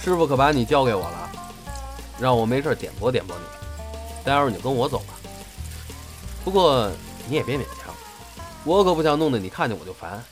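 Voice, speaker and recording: A man's voice: medium pitch, sing-song, a little fast, pauses often, lightly acted. Actor as bossy young male senior apprentice, teasing, very varied intonation, music underneath. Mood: firm. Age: young adult. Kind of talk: audio drama acting.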